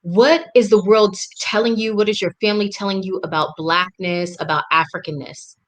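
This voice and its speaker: A woman's voice: mid-range voice, steady tone, normal speed. A young woman hosting a live interview over a video call, earnest and reflective. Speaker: host. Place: indoor room at home.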